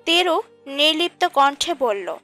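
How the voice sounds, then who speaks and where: A woman's voice: sits high, animated, measured pace, bright, forceful tone. A woman reading scripted narration for a romantic audio story. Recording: music under the voice. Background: background music.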